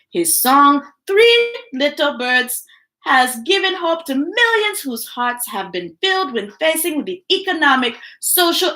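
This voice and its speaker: Bright, high-voiced woman reading aloud. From United States. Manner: sings the book text with playful scat sounds; chatty asides between readings. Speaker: children's book reader. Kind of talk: live-streamed storybook reading.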